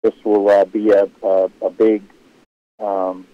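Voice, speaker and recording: A man with a very low, loud voice chats casually on a live sports stream, rambling and restarting his sentences. The audio is dull and lo-fi, and it is close and dry with no room sound. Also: level tone, slow pace, no echo. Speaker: sports show host answering viewer questions. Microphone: live stream setup.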